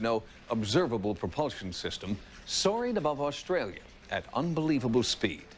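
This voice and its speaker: A man's voice: medium pitch, slight background noise. A man narrating a documentary from a script in a dramatic style.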